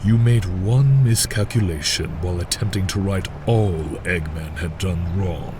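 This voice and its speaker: A man playing a cold, commanding henchman with a measured, terse and solemn manner in a cartoon dub. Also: low voice, background noise. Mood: grave, persuasive. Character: cold, commanding male henchman, grave and persuasive. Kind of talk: cartoon comic dub acting.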